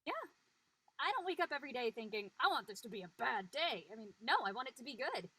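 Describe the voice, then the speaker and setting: A woman's voice: on the high side, lively intonation, fast, sharp tone, husky, no room sound, heavily acted, rough recording. A woman playing a quirky, dramatic teenage friend in a drama read. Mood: upbeat.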